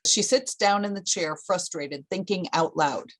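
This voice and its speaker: A woman's voice: on the low side, measured pace, a bit bright. A woman reading stage directions as the narrator of a screenplay.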